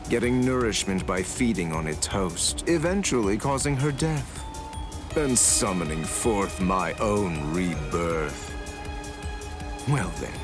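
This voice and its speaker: An actor playing a vain, gloating villain in an anime game, lecturing in a pompous, theatrical way. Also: male voice, on the low side. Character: vain, gloating male villain obsessed with immortality and perfection. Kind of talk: anime video game dub acting.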